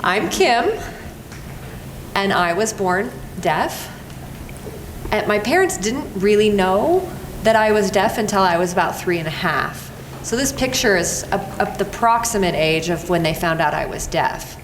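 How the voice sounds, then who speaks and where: A middle-aged woman speaking on a live panel in a measured, explanatory way. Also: medium pitch, animated. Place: college event room. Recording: echoey room with background noise.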